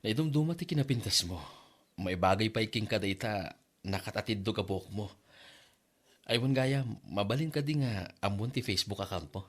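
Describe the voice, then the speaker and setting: A man's voice: lowish voice, animated, fairly quick. A man with an Ilocano accent playing a smitten, bashfully flirtatious customer in a comedy drama.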